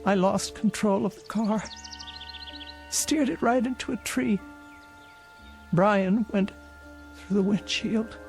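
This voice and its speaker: An imperious, lonely old spinster, in a vintage television drama. She speaks very slowly and haltingly, insisting on every point, with faint music behind her. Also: mid-range voice, darkish tone. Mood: anguished, wistful. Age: older. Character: imperious, lonely elderly spinster haunted by lost fiancé.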